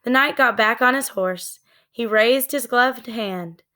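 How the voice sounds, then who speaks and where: A woman narrating a children's book in a deliberate, expressive storytelling manner. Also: on the high side. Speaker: read-aloud storyteller.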